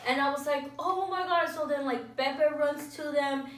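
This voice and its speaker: A woman's voice: high voice, normal speed, a bit bright, distant mic, a little hiss or hum. A young woman vlogger telling a story casually, rambling and saying "like" constantly. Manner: rambling, fills speech with "like". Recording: echoey room, voice off-mic.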